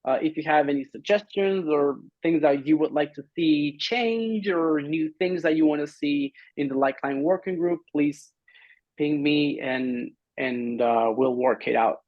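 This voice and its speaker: Casting: middle-aged man, informal meeting update, halting and loosely phrased, narrow phone-like sound. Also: fairly high pitch, no echo. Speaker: grant-funded working group administrator. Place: indoor room, video call. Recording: muffled video-call audio.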